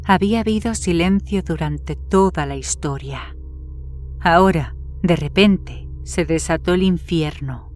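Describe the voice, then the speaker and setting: A woman narrates a scripted horror story. Her voice is on the low side for a woman, and faint music plays underneath as she reads. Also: a little slow. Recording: music behind the voice.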